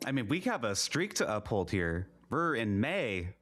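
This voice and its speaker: A man's voice: lowish voice, very animated, normal speed, a bit bright. A man co-hosting a podcast, a bit sick and slightly nasal, with dry humor in casual chat. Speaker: podcast co-host. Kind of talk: casual chat and show outro.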